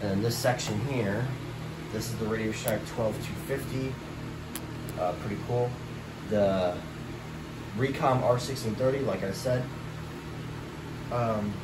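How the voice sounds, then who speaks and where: A man's voice: on the low side, a little slow, pauses often. A man giving a casual, meandering show-and-tell of a collection, filmed on a handheld camera in a small bedroom. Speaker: hobbyist collector showing a collection. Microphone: handheld camera, behind the lens. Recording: distant mic, loud room hum and noise.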